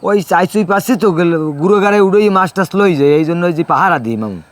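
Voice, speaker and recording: Male, fast, slightly high pitch, Barisal accent, acted respectful rural nephew, colloquial drama dialogue. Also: animated. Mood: friendly. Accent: Barisal, southern Bangladesh. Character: familiar, concerned rural nephew, respectful to his uncle.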